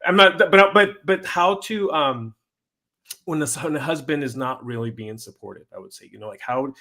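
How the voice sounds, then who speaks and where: A man hosting an interview, talking casually in a rambling, halting way with many restarts. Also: on the high side, bright, forceful tone. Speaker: podcast host.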